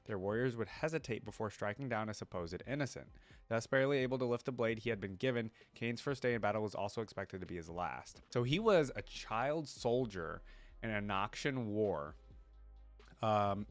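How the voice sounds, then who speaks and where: A man's voice: lowish voice, no room sound. A man hosting a reaction video, switching between reading a story aloud and thinking through it in loose, everyday commentary.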